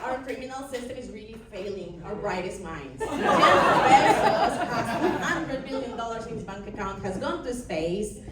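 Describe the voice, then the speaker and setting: A woman from Costa Rica with a Costa Rican Spanish accent, performing a wry, deadpan stand-up set in a comedy club. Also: on the high side, measured pace, few pauses, over a noisy crowd. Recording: far-off echoey room with background noise. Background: audience laughter and ambience.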